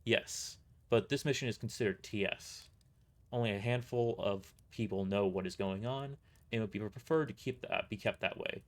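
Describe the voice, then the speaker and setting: A man's voice: on the low side, normal speed, close to an everyday voice. A man playing a weary mercenary boss who gives grave, matter-of-fact briefings in an audio drama. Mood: grave, explanatory.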